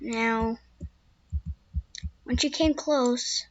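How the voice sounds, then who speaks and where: A woman telling the tale of a squeaky wild horse. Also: fairly even intonation, extremely slow, no echo, muffled recording. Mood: wistful. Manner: first-person storytelling with restarts, turning frantic when scared. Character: young wild male horse, a skittish loner. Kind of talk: amateur spoken story.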